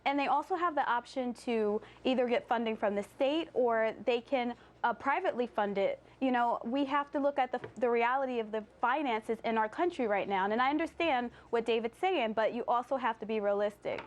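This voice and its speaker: Higher-pitched American woman, TV legal panelist. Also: fairly quick, hardly any pauses. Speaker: former prosecutor, TV legal panelist. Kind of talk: TV panel debate.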